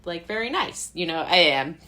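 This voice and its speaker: An American woman with a lowish voice speaks as a guest in a casual interview. Her manner is informal and rambling, amused and quick to poke fun at herself. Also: expressive, pushed and bright. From Brooklyn, United States.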